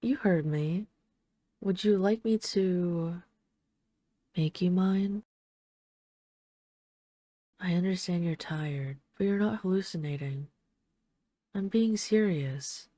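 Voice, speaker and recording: Low, mellow flirtatious vampire lady played by a woman. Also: dry recording, clean studio sound. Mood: seductive, coaxing. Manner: teasing, coaxing, deliberate with lingering pauses. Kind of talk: intimate audio roleplay addressed to the listener.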